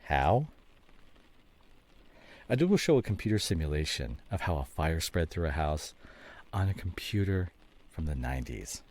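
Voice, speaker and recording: A man acting a devoted, remorseful husband in an intimate ASMR roleplay drama, soft and halting, with a husky voice. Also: very deep voice, big pitch swings, heavily acted. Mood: casual, teasing. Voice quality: husky, gravelly, often breathy. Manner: soft, halting, drops into whispers. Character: remorseful, devoted husband nursing his injured wife, teasing.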